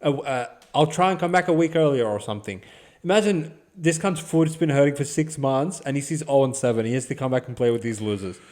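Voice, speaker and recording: A man's voice: medium pitch, animated, a little fast, darkish tone. An Australian man hosts a casual sports podcast in an ordinary mid-range voice. He talks in slangy, blunt banter and swears freely as he previews games.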